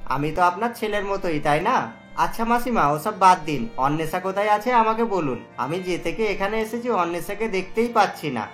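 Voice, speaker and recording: A woman's voice: lowish voice, expressive, normal speed, faint music behind, natural voice. A woman voicing a helpful young man in a cartoon, obliging and given to playful grumbling. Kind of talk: cartoon voice acting.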